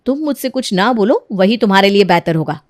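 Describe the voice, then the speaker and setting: A woman's voice: medium pitch, animated, a little fast, a little mellow, dry recording, clean studio sound, lightly acted. A woman voicing a proud, defiant young bride in a cartoon family drama. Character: proud, defiant young bride who suspects her in-laws.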